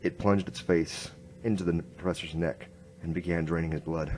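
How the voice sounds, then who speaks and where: A man's voice: sits low, fairly even intonation, brisk, darkish tone, rough recording. A man playing a grim hard-boiled inspector, narrating tersely in a noir radio drama. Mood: grim narration.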